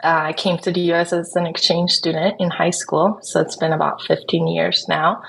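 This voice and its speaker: Casting: Swedish-born woman, 30s, native Swedish speaker, slightly low voice, relaxed storytelling guest, light background noise. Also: normal speed, vocal fry, low-quality recording. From Sweden. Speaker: podcast guest, wedding videographer. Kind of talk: casual interview, telling own story.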